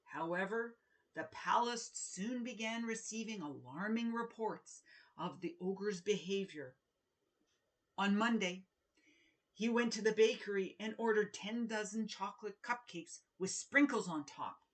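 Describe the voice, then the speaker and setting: Slow-paced, expressive woman storyteller. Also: mid-range voice. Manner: expressive, deliberate storytelling with emphasized words. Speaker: children's author reading aloud. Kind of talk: children's read-aloud and informal author talk.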